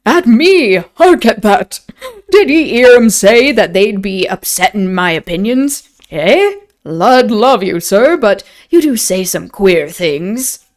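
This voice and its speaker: A woman's voice: mid-range voice, lively intonation, slow pace. A woman voicing a jovial, opinionated English innkeeper from a novel, with a folksy, blustering manner. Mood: amused, boastful.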